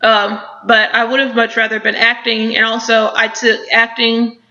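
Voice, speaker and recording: Young woman with a stutter, gravelly. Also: a little high, flat delivery, moderate pace, bright, forceful tone, lo-fi sound. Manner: stutters with repetitions and blocks, many ums, thoughtful. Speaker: person who stutters answering questions. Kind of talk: self-interview, reading questions and answering.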